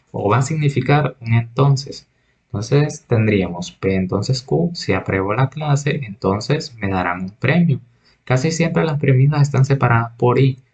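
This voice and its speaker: A man's voice: mid-range voice, a little mellow, lo-fi sound. A man teaching a recorded logic lesson, patiently reading examples and explaining them step by step. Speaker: math teacher.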